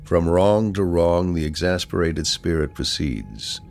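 Very deep-voiced man reciting poetry slowly. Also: animated, vocal fry, a little mellow, soft background music. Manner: measured, deliberate, with pauses between phrases. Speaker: poetry reader.

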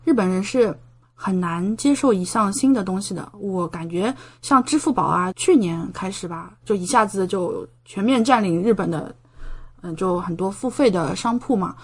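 A woman's voice: medium pitch, normal speed, husky. Explanatory woman in casual conversation. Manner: explanatory, talkative, gives examples. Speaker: podcast guest, doctoral student in medicine living in Japan.

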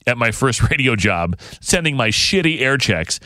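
A man's voice: mid-range voice, very animated, moderate pace, sharp tone, no room sound, clean studio sound. A man hosting a radio show's podcast in casual, unscripted chat, rambling and often interrupting himself. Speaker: radio show host.

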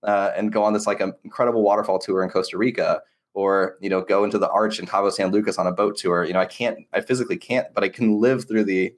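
A man's voice: fairly low pitch, fairly even intonation, quick pace. A man being interviewed as an agency owner, explaining his work in an earnest, casual, conversational way.

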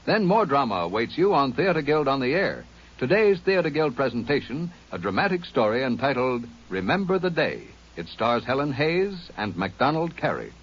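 A man's voice: mid-range voice, husky. A male network announcer reading polished scripted promotions. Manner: polished, lively announcer delivery. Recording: dull old radio broadcast.

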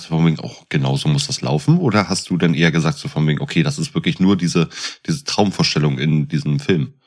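A man chatting casually as a podcast co-host, explaining and asking probing questions. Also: a bass voice.

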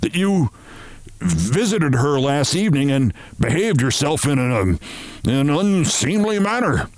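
Man acting a halting, pompous older boss. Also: a little high, big pitch swings, dry recording, voice pushed up. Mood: embarrassed. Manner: halting, formal, hemming with ums and uhs. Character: pompous older male boss, hesitant and regretful. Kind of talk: storybook character voice.

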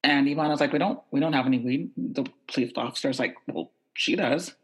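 A woman's voice: a bass voice, brisk, rough recording. A young woman telling a personal anecdote, animatedly voicing the people in it. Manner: lively, animated quoting of others. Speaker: storyteller. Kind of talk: personal anecdote told to an audience.

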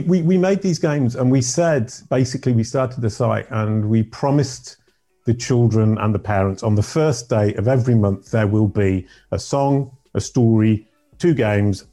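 A middle-aged man from Yorkshire with a deep voice, telling his life story as a podcast guest in a rambling, self-correcting way. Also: dark tone. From Yorkshire, England. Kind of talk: casual interview storytelling.

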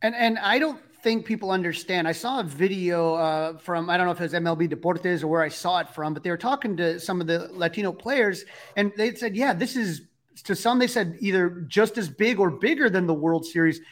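A man's voice: high-pitched, brisk. A man hosting a sports interview, chatting casually and asking questions. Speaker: podcast host.

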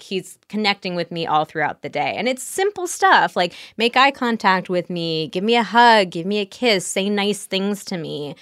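A woman's voice: on the low side, a bit bright, dry recording, studio quality. A woman speaking as a guest sex therapist in casual, explanatory podcast talk, illustrating her points with quoted examples.

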